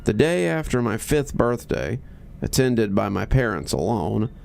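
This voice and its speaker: A man reading a scripted horror story in measured, deliberate phrases with pauses. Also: fairly low pitch, very animated, vocal fry, darkish tone.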